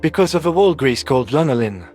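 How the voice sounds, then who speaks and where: A man's voice: mid-range voice, expressive, fast, darkish tone, faint music behind, natural voice. A man voicing an earnest male four-legged alien doctor who explains things carefully in an audio story. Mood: grave, explaining.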